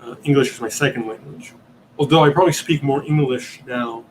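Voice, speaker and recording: A man from Italy whose first language is Italian, hosting a livestream Q&A and reasoning aloud, often pausing and searching for words. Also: mid-range voice, slight background noise, low-quality recording. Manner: hesitant, searching for words, many ums and restarts. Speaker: podcast host answering questions.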